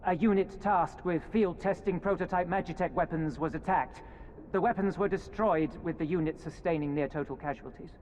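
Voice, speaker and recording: Game officer male actor: higher-register, stern, proud, clipped military formality. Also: moderate pace, a little mellow, a little hiss or hum, close to an everyday voice. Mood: formal, grave. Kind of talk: dramatic video game cutscene acting.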